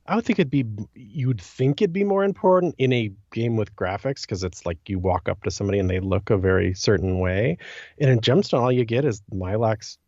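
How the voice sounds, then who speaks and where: A man's voice: lowish voice, a little fast, warm tone, no room sound. A man speaks as a podcast co-host in an easy, informal, musing style. The sound is dry and close with no room to it, and a little dull and lo-fi. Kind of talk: casual chat about a game. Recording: dull, slightly lo-fi dry audio.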